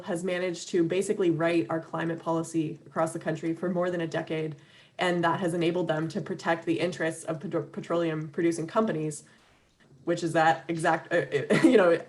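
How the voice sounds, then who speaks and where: A woman with a slightly low voice gives an earnest, loosely organized talk over a video call. She fills her pauses with ums and restarts, and a light laugh slips in between points. Her voice sounds a little worn, as if she is starting to lose it. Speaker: webinar speaker for an advocacy organization. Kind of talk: conversational talk.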